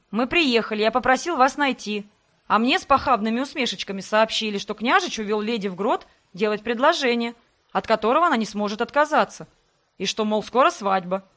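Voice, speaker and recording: A woman's voice: fairly high pitch, brisk, fairly bright tone, close to an everyday voice. Woman doing a jealous male necromancer. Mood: heated. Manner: raised voice, pleading and reproachful.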